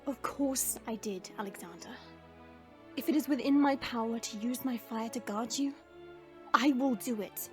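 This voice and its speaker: A woman's voice: a little high, moderate pace, a bit bright, faint music behind, lightly acted. A woman playing a proud, protective dragon princess in an audio drama, with formal, stately phrasing. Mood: solemn, reassuring.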